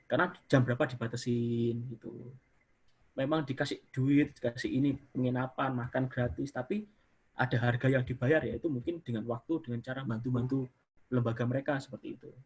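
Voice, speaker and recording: Husky young man on a video call. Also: medium pitch, fairly quick. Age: young adult. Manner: relaxed, many fillers and trailing "gitu". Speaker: university student guest. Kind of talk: casual interview over video call.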